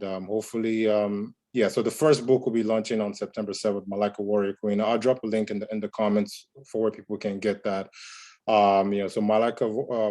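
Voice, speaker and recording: A man from Nigeria, a comics creator speaking on a panel, measured with many fillers. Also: fairly low pitch, warm tone. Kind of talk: panel talk on a video call.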